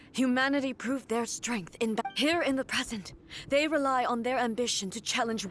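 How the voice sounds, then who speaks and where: An actress playing a proud, stern warrior ruler with godlike power in a video game, speaking in a solemn, imperious, formal manner. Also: female voice, a little high, a little hiss or hum. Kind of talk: dramatic video game voice acting. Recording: loud music under the voice. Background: loud game music.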